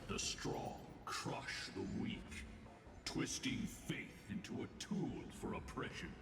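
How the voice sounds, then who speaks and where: An actor playing a grave, weary man who recounts ancient history in slow, solemn, measured game dialogue. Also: low voice, animated, creaky, exaggerated voice. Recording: reverberant game audio over loud music.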